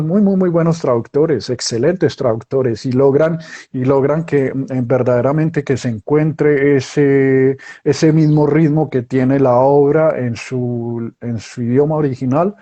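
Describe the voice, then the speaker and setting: A man's voice: medium pitch, a little slow, warm tone, highs cut off. A middle-aged man from Colombia, a guest writer, chatting casually and telling stories with many restarts. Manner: storytelling, many restarts and acted quotes. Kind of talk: casual interview conversation.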